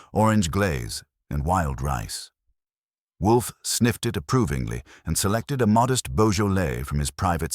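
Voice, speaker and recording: A man's voice: rumbling low, no room sound, studio quality. A man narrating a scripted first-person detective story.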